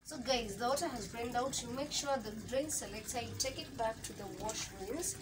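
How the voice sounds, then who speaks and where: Chatty Kenyan woman over loud music. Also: on the high side, normal speed. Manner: chatty, explains step by step, mixes in Swahili phrases. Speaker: vlogger. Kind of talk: casual laundry how-to vlog. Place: small home laundry room.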